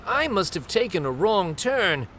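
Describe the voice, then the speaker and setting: A man voicing a gentle, nostalgic old grandfather from a picture book, musing and a little hesitant. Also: sits high, sing-song, a little slow, a little hiss or hum, pitched above the performer's own voice, full character voice. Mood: bewildered, grumbling. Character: gentle, nostalgic old Japanese grandfather, retired street storyteller.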